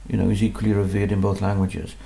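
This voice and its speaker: A man's voice: on the low side, monotone, quick pace, vocal fry, dark tone. A man, a composer being interviewed in his music room, talking in a modest, hesitant way with many restarts. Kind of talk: relaxed conversational interview.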